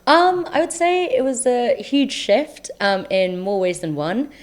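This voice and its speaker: A woman's voice: a little high, very animated, unhurried. A young woman with a London accent, chatting enthusiastically and reflectively as an interview guest. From South London, England. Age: young adult. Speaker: podcast guest, medical student. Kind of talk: casual interview conversation.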